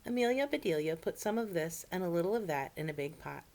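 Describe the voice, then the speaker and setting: Female story-time reader, fairly low voice, expressive picture-book narration with asides to children. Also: quick pace.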